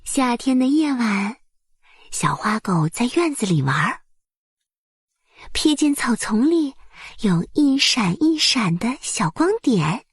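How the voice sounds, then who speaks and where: A woman narrating a bedtime story for small children in a soft, breathy voice, with gentle, soothing and careful phrasing. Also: a little high, very animated, slow pace, no echo, clean studio sound.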